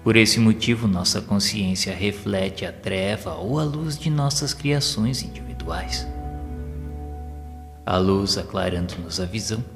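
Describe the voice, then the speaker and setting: A man reading a solemn audio novel in a measured, formal, sermon-like way. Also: fairly low pitch. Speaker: audiobook narrator. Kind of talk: solemn scripted narration of a spiritist audio novel. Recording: long reverb with music underneath. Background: music.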